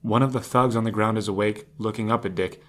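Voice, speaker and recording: A man's voice: on the low side, steady tone, fast talker. A man reading scripted narration as the narrator of an audio drama.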